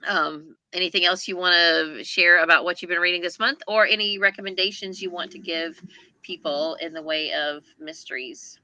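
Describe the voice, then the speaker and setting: Woman, chatty host, slightly low pitch, bright forceful tone, dry sound, casual and teasing. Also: fairly even intonation, moderate pace, no room sound, low-quality recording. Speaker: host of a book chat livestream. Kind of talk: casual chat, reading viewer comments. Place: indoors on a group video call.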